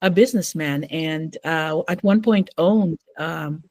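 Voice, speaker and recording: Novelist guest, woman, middle-aged, Manila-raised; chatty storyteller, low pitch, dry booth sound. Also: a little slow, dry recording. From Philippines, Metro Manila. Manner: warm, storytelling, many fillers. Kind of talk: casual interview conversation.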